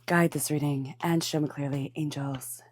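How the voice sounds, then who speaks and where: A woman's voice: low-pitched, steady tone, moderate pace. A congested woman with a cold giving an encouraging tarot reading, talking straight to the listener. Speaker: tarot reader. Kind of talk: tarot reading with guided meditation.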